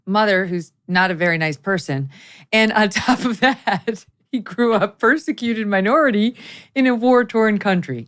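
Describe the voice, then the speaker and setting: Low-voiced teasing woman host. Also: clear, resonant tone. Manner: lively, teasing, emphatic questions. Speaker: talk show host. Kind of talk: conversational interview.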